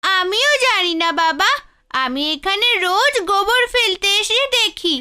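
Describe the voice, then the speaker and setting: Cartoon daughter role, woman performer: tiny, very high-pitched girl, sharp and bright, earnest and affectionate. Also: expressive, measured pace, a little hiss or hum, full character voice. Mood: eager, earnest. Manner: pleading, childlike, eager. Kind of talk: cartoon fairy tale dialogue.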